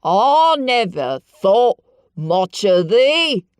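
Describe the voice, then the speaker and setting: Give me a woman playing a cross old Yorkshire gardener, loud and dragging his words. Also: fairly high pitch, sing-song, dry recording, studio quality, pitched above the performer's own voice. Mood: scolding. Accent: Yorkshire dialect. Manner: loud, slow haranguing. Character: angry old male gardener, gruff and scolding.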